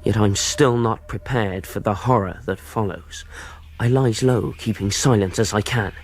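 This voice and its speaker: A man's voice: fairly low pitch, a little slow, a little hiss or hum. An actor playing a frightened but earnest ship's boy, telling his story in rustic grammar in a tense radio drama. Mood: hushed dread.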